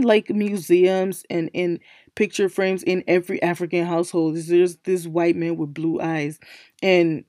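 A young woman hosting a casual solo podcast, her voice still low and worn from recently losing it, chatty and emphatic, circling back to repeat her points. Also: a little mellow, no echo, clean studio sound. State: recovering from a lost voice, speaking low. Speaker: podcast host.

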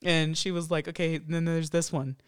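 Lady on a podcast, lowish smooth voice, dry little comments. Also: little pitch movement, moderate pace. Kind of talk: casual chat.

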